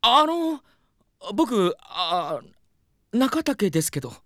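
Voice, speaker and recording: A man's voice: high-pitched, no room sound, clean studio sound, heavily acted. A man playing a hapless, over-eager aspiring singer in a comedic audio drama, earnest and easily flustered. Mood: nervous, eager. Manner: excitable, earnest, quick to fluster.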